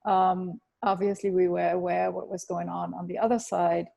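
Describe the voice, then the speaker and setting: Interviewee in her fifties; husky, halting, restarts a lot. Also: lowish voice, level tone, dark tone. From Germany. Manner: hesitant, many fillers and restarts. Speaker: interviewee, architect. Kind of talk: thoughtful interview answers.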